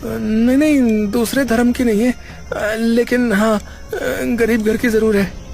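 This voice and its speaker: A man's voice: extremely high pitch, fairly even intonation, slow pace, creaky, darkish tone, soft background music, full character voice. An actor voicing an easygoing, joking young man in a cartoon, talking in a casual, colloquial way. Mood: nervous. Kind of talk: cartoon character acting.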